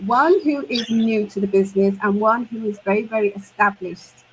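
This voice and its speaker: A woman's voice: mid-range voice, moderate pace, slight background noise, rough recording. A woman in her fifties from the United Kingdom, co-hosting a livestream chat in an upbeat way and leaning on repeated words for emphasis. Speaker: co-host and online business mentor.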